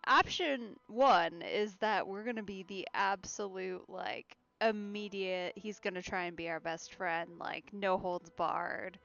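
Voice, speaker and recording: A woman with a middle-pitched voice comments casually on a game she is playing. She talks slowly, musing as she goes. The sound is a little dull, with faint game music behind. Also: vocal fry, fairly bright tone. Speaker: let's play streamer.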